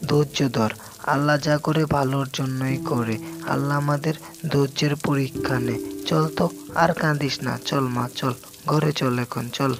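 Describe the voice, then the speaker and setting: A man's voice: mid-range voice, fairly even intonation, moderate pace, lightly acted. Man acting tender village father, music underneath. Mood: soothing. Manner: soothing, coaxing, exclamatory. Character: tender, devout village father and poor farmer. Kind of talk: cartoon voice acting, drawn-out storytelling delivery.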